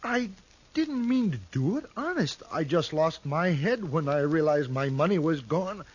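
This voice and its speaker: A husky, slightly high-voiced simple soul of a young rancher, stammering and pleading like a frightened child. An old radio drama voice, soft and breathy at the edges. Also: male voice, very animated, a little slow. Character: gentle simple-minded young male rancher. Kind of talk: 1950s radio drama acting.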